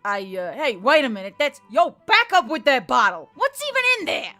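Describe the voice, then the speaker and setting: Woman acting a brash, gruff female centaur. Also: high-pitched, wildly swooping pitch, normal speed, sharp tone, soft background music, voice pushed up, full character voice. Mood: alarmed. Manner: growling, blunt, slangy.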